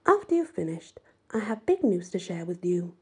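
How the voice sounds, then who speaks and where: A woman voicing a spiteful, condescending female teacher in an audiobook, breathy, with drawn-out emphasis. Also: on the low side, sing-song, exaggerated voice.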